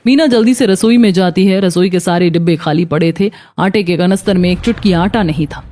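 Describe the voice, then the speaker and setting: A woman's voice: fairly low pitch, fairly quick, dark tone. A woman narrating a scripted moral story as a cartoon storyteller. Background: faint background music.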